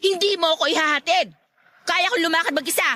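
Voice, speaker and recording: An actress plays a sharp-tongued young woman in a radio drama. Her voice is very high and bright, recorded dry and close, and she scolds with open, haughty disdain. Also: fast, no echo. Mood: annoyed. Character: feisty, disdainful young college-graduate woman rebuffing a suitor. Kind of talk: Tagalog radio drama acting.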